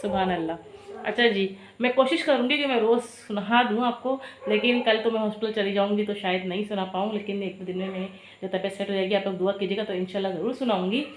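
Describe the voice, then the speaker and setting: A woman's voice: mid-range voice, fairly even intonation, fast talker, slight background noise. A woman vlogging at home in her kitchen, chatty and talking viewers through each step, with a sore throat. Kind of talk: casual cooking vlog narration.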